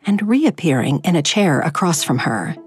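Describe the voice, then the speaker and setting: A woman reading a novel excerpt aloud in an expressive, measured narration style. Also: low-pitched. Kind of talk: audiobook narration.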